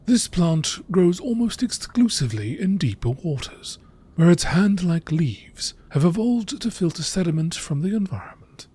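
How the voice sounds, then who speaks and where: A man narrating scripted nature documentary entries in a measured, formal, deliberate way, with a husky, breathy voice. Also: sits high, big pitch swings, dark tone. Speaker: narrator reading game lore entries.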